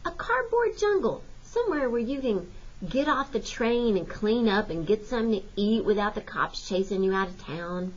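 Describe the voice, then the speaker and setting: A woman's voice: on the high side, animated, moderate pace, muffled recording. A woman voicing a cocky, streetwise runaway boy with folksy, slangy speech in an audiobook. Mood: hushed, scornful. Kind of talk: audiobook character voice.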